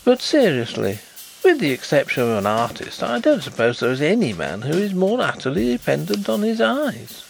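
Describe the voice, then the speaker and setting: A man voicing an effusive, easily flustered and rather stiff gentleman detective in a storybook reading. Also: on the high side, wildly swooping pitch, moderate pace, creaky, soft background music, pitched above the performer's own voice, full character voice, poor audio. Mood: considerate. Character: Carlyle, excitable, sympathetic gentleman, proud private inquiry agent.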